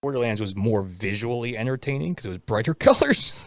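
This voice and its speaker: A man's voice: medium pitch, lively intonation, fairly quick, no echo. A man co-hosting a podcast in casual, conversational movie chat. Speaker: podcast co-host.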